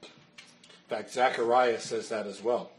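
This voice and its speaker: A man's voice: mid-range voice, steady tone, measured pace. A man, a pastor, teaching a Bible study in an earnest, explanatory way and answering questions while losing his voice.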